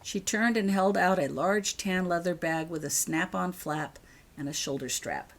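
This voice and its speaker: A woman's voice: on the low side, unhurried. A woman reading her own story as scripted audiobook narration.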